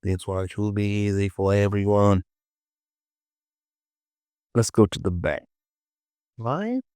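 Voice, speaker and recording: Slow, deep-voiced man with creaky tone. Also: darkish tone, no room sound, studio quality. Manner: short clipped instructions, self-questioning asides, repeats phrases. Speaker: 3D modelling tutorial instructor. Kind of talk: casual step-by-step software tutorial narration.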